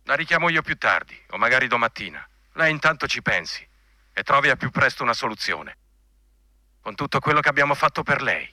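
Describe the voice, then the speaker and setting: A man's voice: medium pitch, sing-song, a little fast, bright, forceful tone, dry recording. An actor playing a coarse, bigoted male army general in a radio drama, blunt, commanding and insinuating. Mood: pressuring. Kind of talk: Italian radio drama acting.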